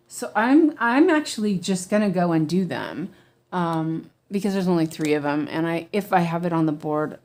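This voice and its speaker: A woman's voice: mid-range voice, gravelly, darkish tone. An American woman, a weaving teacher, chatting casually as she stitches, with lots of ums, restarts and easy laughter. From United States. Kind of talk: casual stitch-along chat.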